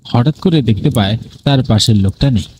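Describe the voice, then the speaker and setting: A man's voice: medium pitch, a little fast, a little mellow, slight background noise. A man narrating a horror cartoon story in a dramatic style.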